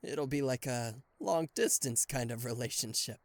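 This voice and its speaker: A man playing a charismatic, flustered king of hell as a boyfriend in an ASMR roleplay audio drama, with a theatrical, halting delivery. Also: mid-range voice, lively intonation, no room sound, close to an everyday voice. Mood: tender, teasing. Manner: theatrical, hesitant stammering, exasperated sighs.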